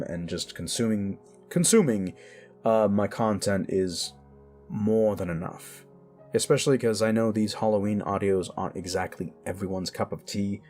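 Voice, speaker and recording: A man with a low voice talks casually to his listeners. He is chatty and upbeat, like a creator giving an update, with music playing behind him. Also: a little mellow. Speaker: audio story creator.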